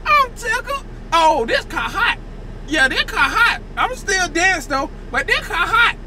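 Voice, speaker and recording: An American prank vlogger chatting excitedly and casually to the camera, playfully repeating phrases over and over. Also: extremely high pitch, unhurried. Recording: noisy handheld recording, vehicle and street sounds.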